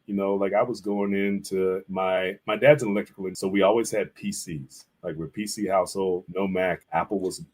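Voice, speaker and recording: A man's voice: low voice, fairly even intonation, normal speed, few pauses, a little mellow. A middle-aged man speaking casually as an interview guest, rambling through stories and acting out quotes as he goes. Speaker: interview guest, visual artist and art teacher.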